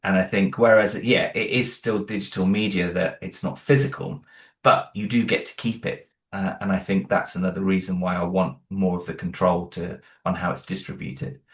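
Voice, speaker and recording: A man's voice: sits low, little pitch movement, normal speed. A British man chatting casually as an interview guest, earnest and a little hesitant as he thinks aloud. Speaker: interview guest, independent audio drama creator. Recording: roomy, echoing room sound.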